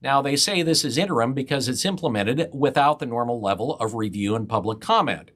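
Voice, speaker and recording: A man's voice: medium pitch, expressive, fairly quick, fairly bright tone. Radio morning guy doing a news bit, dry and skeptical. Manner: wry, skeptical. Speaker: radio morning host and commentator. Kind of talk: scripted news commentary.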